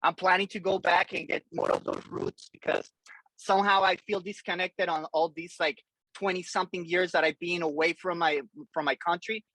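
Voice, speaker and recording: A man's voice: high-pitched, steady tone, normal speed, sharp tone, no echo, poor audio. A man in his forties from Colombia, a drummer guest on a podcast, talking loosely with many fillers and restarts. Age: 40s. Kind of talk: casual interview chat.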